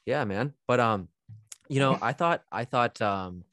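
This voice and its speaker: A man's voice: medium pitch, lively intonation, measured pace, a bit bright, no room sound, clean studio sound. A young man hosting a podcast, chatting in a laid-back, slangy way full of fillers. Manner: laid-back, slangy, lots of likes and you knows. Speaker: podcast host. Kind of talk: casual conversational interview.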